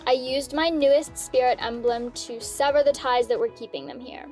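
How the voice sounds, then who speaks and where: A woman playing a calm, wry, seasoned mentor, assured and blunt, in a dramatic staged reading. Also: sits high, normal speed, soft background music, close to an everyday voice.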